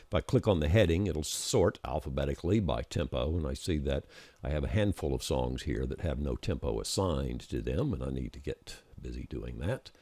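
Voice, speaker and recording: A man's voice: very deep voice, expressive, normal speed, darkish tone, dry recording. A man giving an informal screencast tutorial, explaining each click step by step in an instructional way, recorded as a close-miked voice-over.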